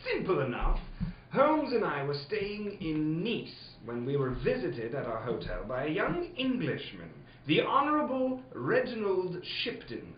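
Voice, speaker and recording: Genial elderly gentleman doctor , played by a man, theatrical radio drama storyteller, fussy and exasperated, highly animated, slightly high register, muffled room recording. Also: unhurried, recorded from a distance, slight background noise. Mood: storytelling. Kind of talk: old-time radio drama acting. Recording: roomy, muffled studio, off the mic.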